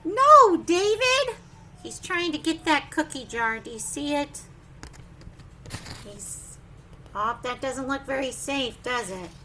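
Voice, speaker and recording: A woman leads story time for preschoolers in a high, bright voice. She takes her time, with a sing-song, exclaiming delivery full of questions to the children. There is some noise behind her. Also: slow, long pauses. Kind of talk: animated read-aloud to young children.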